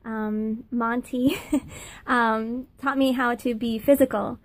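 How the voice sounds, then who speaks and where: A woman in her thirties with a high-pitched voice, recording a personal video message, earnest and halting with many pauses. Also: fairly even intonation, no room sound. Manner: earnest, halting, many fillers, laughs between phrases. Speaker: former student giving a thank-you testimonial.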